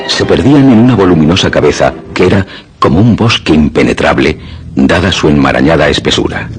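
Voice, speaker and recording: A man delivers scripted horror narration for radio theatre. He is solemn and deliberate, with a literary storytelling style. Loud music plays behind him. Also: very deep voice.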